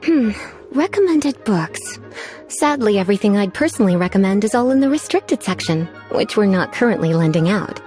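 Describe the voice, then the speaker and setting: A woman's voice: medium pitch, lively intonation, moderate pace, darkish tone, faint music behind, close to an everyday voice. A woman playing a coy, languid adult female librarian in an anime game, teasing and sweetly menacing as she drawls.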